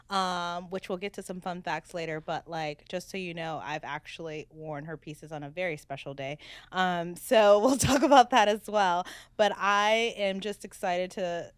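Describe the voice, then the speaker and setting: A bubbly woman hosts a podcast in easy, casual chat, laughing often as she gushes. Her voice is smooth and clear, recorded close and dry with no room sound. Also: mid-range voice, fairly bright tone, no room sound. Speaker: podcast host.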